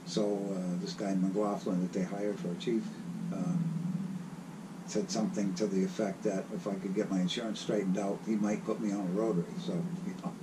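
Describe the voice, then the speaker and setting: An older man with a raspy voice, recalling his past in a halting, rambling way as the guest of an interview. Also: fairly low pitch, little pitch movement, very noisy. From South Carolina. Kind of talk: casual reminiscing.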